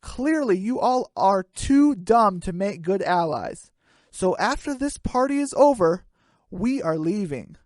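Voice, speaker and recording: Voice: mid-range voice, animated, measured pace, darkish tone, no room sound, studio quality, lightly acted. A performer voicing a snooty, dismissive little green alien in a hobby comedy radio play.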